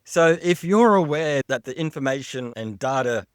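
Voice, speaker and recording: A man's voice: mid-range voice, lively intonation, moderate pace, clean tone, dry recording, studio quality. A man with a non-American accent co-hosting a podcast, asking probing questions and repeating himself as he builds a point. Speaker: podcast co-host.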